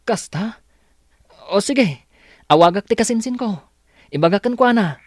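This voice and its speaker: A man's voice: sits high, expressive, moderate pace, dry recording, studio quality. A man acting an earnest, helpful young male student in an Ilocano radio drama, with an Ilocano Filipino accent. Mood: friendly, reassuring.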